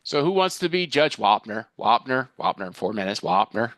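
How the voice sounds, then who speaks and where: Male table read performer, bright forceful tone, lively swings in pitch, informal banter. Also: moderate pace, dry recording. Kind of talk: casual joking chat with fellow performers.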